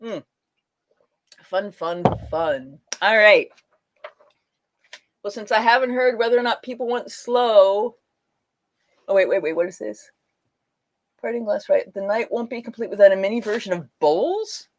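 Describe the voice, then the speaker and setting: A woman's voice: mid-range voice, expressive, a little slow, pauses often. Chatty woman singer on a livestream. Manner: chatty, reads chat aloud, laughs between lines. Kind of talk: casual livestream chat and folk singing. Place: at home.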